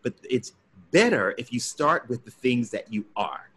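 A man with a baritone voice, a guest actor reflectively telling his story in an interview. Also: very animated, moderate pace, sharp tone, husky. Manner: reflective, acts out his own past thoughts.

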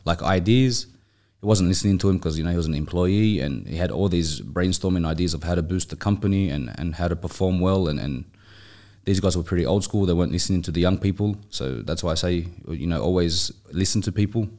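A man with a deep voice and an Australian accent talks as an interview guest. He is conversational, telling things like a storyteller in a casual podcast style. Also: fairly quick, darkish tone. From Australia, raised in Sydney. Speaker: interview guest, business owner.

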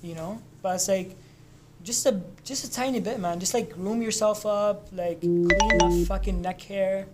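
A man's voice: high-pitched, fairly even intonation, a little slow, loud music behind the voice. A young man from Lebanon chatting casually, telling stories in a loose, profane way. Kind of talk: casual chat.

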